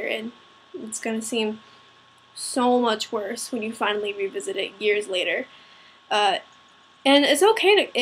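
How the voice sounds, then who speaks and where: A young woman who is a little sick and raspy, talking informally and a bit self-consciously in a personal video. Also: fairly high pitch, a bit bright, slight background noise, rough recording. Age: young adult, student. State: slightly sick with a cold-like rasp. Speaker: vlogger. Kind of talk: personal talk to camera.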